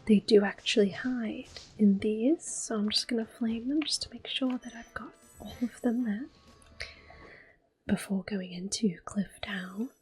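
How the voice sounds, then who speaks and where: A woman whispering and speaking softly as she plays through a video game, gentle and a little hesitant, pausing with ums. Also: a little high, husky, rough recording. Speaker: ASMR gameplay creator. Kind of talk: soft-spoken ASMR gameplay commentary. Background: faint game music and controller clicks.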